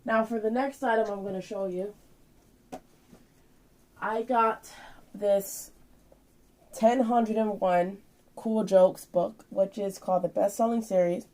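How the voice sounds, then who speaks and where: A woman's voice: medium pitch, steady tone, slow pace, pauses often, mellow. A woman in her twenties talking informally and a bit ramblingly through a show-and-tell vlog, explaining things hands-on. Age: 20s. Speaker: vlogger showing purchases.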